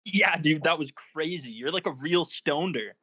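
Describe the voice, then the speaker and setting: A man's voice: on the high side, normal speed, husky, full character voice. A man playing an anxious, cautious young stoner sidekick in a comedy script read. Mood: excited. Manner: worried, animated, exclamatory. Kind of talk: comedy screenplay table read.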